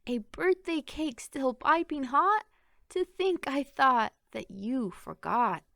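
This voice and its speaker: A woman voicing an excited, delighted storybook mother with a gushing, exclaiming manner. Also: high-pitched, slow pace, creaky, dry recording, clean studio sound, pitched above the performer's own voice, exaggerated voice. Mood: delighted, amazed. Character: delighted mother, excited over her birthday surprise.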